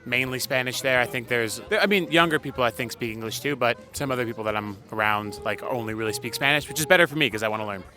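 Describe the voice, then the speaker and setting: Bright fast-talking young man. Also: mid-range voice, soft background music. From New York. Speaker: student interviewee. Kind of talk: casual street interview answers. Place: outdoors on a city street.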